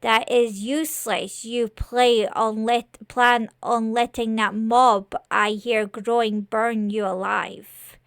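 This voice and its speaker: A woman voicing a manipulative male demon lord, mocking and menacing, with drawn-out phrasing in a horror story. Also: a little high, level tone, a bit bright, smooth, no room sound, studio quality. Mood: menacing, persuasive.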